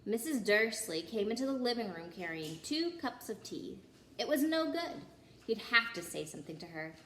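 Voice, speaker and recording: A woman reading a children's story aloud in an expressive way, with occasional small stumbles over the text. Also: a little high, moderate pace. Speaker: story reader. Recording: roomy echo, clear background noise.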